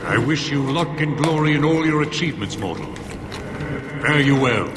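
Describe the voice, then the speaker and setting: An actor voicing a proud, stern warrior spirit in a video game, speaking formally and grandly through otherworldly reverb. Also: male voice, medium pitch, expressive, normal speed. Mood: solemn. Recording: long echoing reverb, noisy background.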